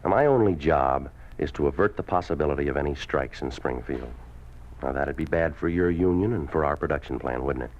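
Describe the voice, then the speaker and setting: An actor in a 1950s radio drama playing a smooth, domineering labor official who talks in a curt, commanding, persuasive way. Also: male voice, very deep voice, fairly quick. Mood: smoothly pressuring. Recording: muffled, noisy 1950s radio recording.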